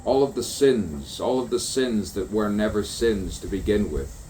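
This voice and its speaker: A man's voice: on the low side, normal speed, warm tone, a little hiss or hum, rough recording. A man delivering a stream-of-consciousness spoken poem, musing and repeating rhyming phrases. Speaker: poet.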